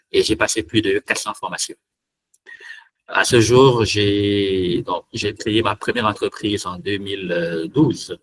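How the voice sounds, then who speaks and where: Low-voiced man hosting an online meetup. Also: a bit bright. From Cameroon. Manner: hesitant, many fillers and restarts. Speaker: meetup host and trainer. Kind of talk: presenting a live online tech meetup.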